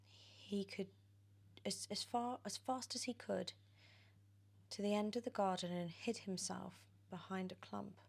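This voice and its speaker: A British woman reading a storybook in ASMR style, drifting between soft whispers and expressive, animated speech. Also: medium pitch, creaky. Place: on a sofa indoors.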